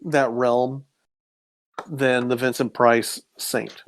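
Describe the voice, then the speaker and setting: A man's voice: medium pitch, flat delivery, very slow. A man chatting casually as a podcast co-host, giving his opinion. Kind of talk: casual chat.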